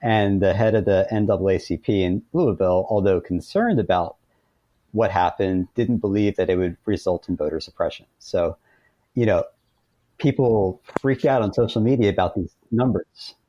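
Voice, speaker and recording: An American man speaking as an interview guest, explaining things conversationally in a thoughtful, halting way. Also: low-pitched, dark tone, lo-fi sound.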